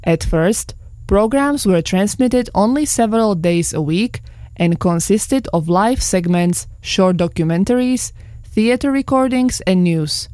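A woman's voice: medium pitch, no room sound. Woman reading a radio program. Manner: measured, even delivery. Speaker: radio presenter. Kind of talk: scripted broadcast narration. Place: radio studio.

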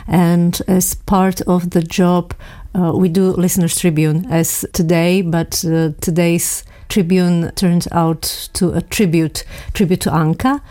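A woman hosts a studio radio interview. Her voice is somewhat low and notably dark and mellow as she introduces and questions a guest. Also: fairly even intonation, slow pace.

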